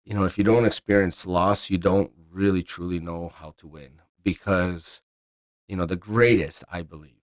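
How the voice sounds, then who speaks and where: A man's voice: deep voice, fairly even intonation, moderate pace. A man hosting a podcast, chatting casually and affirming his guest often. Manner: agreeable, frequent affirmations. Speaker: podcast host. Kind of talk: casual interview chat.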